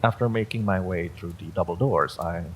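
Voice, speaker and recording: A man's voice: low voice, normal speed, a little hiss or hum. A man reading a scripted first-person horror story as its narrator.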